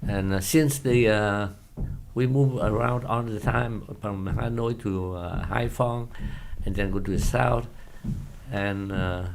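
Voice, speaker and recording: A man in his seventies with a Vietnamese accent, a non-native speaker recalling his past in an interview, halting often with many hesitations and loose grammar. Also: on the low side, steady tone, creaky, slight background noise. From northern Vietnam. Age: 70s. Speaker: guest, former pilot. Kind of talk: casual interview recollection.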